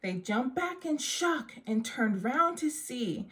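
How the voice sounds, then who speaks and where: Woman, storybook read-aloud of rhyming verse, slightly high voice, unhurried pace. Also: very animated, fairly bright tone. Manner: measured, rhyming verse delivery. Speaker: storybook reader.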